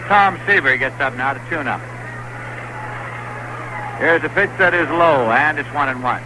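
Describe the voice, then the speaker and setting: Brisk 1960s baseball radio announcer, man. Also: a little high. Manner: brisk, rising excitement on big plays. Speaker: play-by-play announcer. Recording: hissy 1960s radio broadcast.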